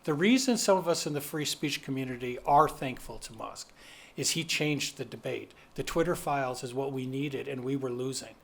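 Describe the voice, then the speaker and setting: Animated man on a panel. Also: mid-range voice, normal speed, gravelly. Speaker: panelist, free speech commentator. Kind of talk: panel discussion. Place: conference hall stage.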